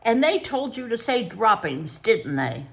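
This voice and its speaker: A bossy, schoolmarmish lady psychologist, as a female narrator puts her on, pitched high and loud, with a sing-song voice that swoops up and down. She is prim and condescending, and turns shrill and spiteful when she gets worked up. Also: sing-song, unhurried, gravelly, full character voice. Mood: accusing. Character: prim, officious woman psychologist, excitable and spiteful.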